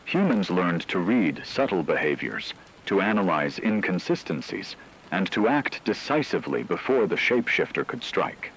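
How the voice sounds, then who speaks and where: A man delivering scripted dramatic narration in a grave, measured storytelling manner. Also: deep voice, sing-song, fairly bright tone, a little hiss or hum, low-quality recording.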